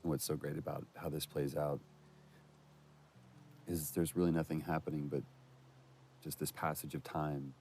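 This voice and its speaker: A man with a very deep, gravelly voice talks through scenes in casual commentary. There is some light noise behind him from the game audio. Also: flat delivery, unhurried, long pauses, a little mellow. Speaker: director commentator on a game's cut scenes. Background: game cut-scene sound.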